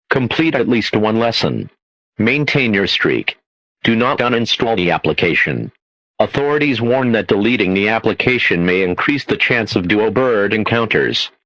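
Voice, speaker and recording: A man delivering a formal, scripted emergency broadcast as an announcer, in a stern, measured official manner. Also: fairly low pitch, fairly bright tone, no echo, lo-fi sound.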